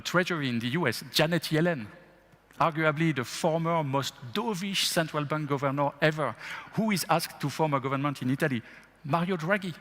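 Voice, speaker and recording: Animated male finance guest on stage. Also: on the high side. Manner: animated, fires rhetorical questions, laughs often. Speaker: bank investment chief, panel guest. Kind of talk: on-stage fireside chat interview. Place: event stage in a large hall. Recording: long hall reverb.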